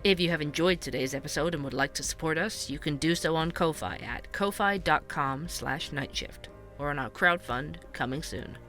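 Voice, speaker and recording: A man's voice: on the high side, normal speed, pushed and bright, soft background music. A man reading scripted end credits for a podcast as its announcer.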